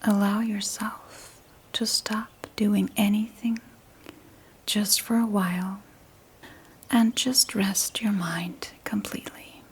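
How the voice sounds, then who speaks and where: Very slow whispering woman. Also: mid-range voice, steady tone. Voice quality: breathy whisper. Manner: soothing, gentle, long pauses between short phrases. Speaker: meditation guide. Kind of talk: ASMR guided sleep meditation.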